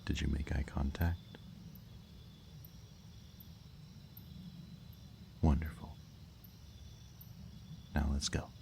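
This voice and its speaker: A man playing a smug, taunting kidnapper villain in an audio roleplay, with a mocking, theatrically drawn-out delivery. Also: a bass voice, flat delivery, creaky, background noise, heavily acted. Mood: sly, menacing. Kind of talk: scripted audio roleplay, ASMR.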